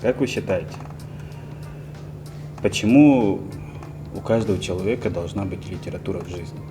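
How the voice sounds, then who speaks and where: A man's voice: low-pitched, moderate pace. A man hosting a casual interview, easygoing, chipping in short remarks. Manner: easygoing, laughs along, chips in short remarks. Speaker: interview host, bookseller. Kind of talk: casual conversation. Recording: music playing behind.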